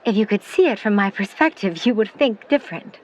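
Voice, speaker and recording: A woman playing a protective, skeptical middle-aged mother in a 1950s New York audio drama, speaking in formal, measured, wordy sentences. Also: medium pitch, animated, a little mellow, lo-fi sound, lightly acted. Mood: guarded, probing. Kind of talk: vintage-set audio drama acting.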